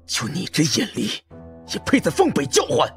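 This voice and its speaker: A man's voice: fairly high pitch, wildly swooping pitch, normal speed, vocal fry, soft background music, pitched above the performer's own voice, exaggerated voice. A man voicing an arrogant, hot-tempered military officer in a Chinese audiobook, curt and blunt in manner. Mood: furious.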